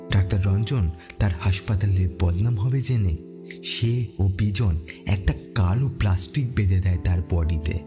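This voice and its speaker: A man narrating a horror story dramatically, with suspenseful pauses. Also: on the low side.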